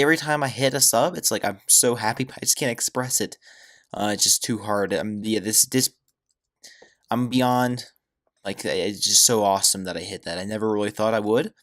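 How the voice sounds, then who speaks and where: A man's voice: mid-range voice, fairly bright tone. Chatty young gamer guy. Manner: rambling, filler-heavy, enthusiastic. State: sick, nasal and hoarse. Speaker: Minecraft YouTuber. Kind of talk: casual gameplay commentary.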